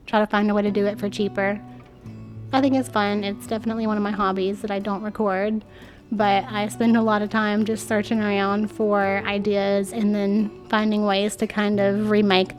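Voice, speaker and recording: A woman in her thirties with a stuffy, congested voice, chatting to viewers in a friendly home decor voice-over. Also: mid-range voice. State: stuffy nose from a cold. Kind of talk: voice-over narration. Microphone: voice-over mic.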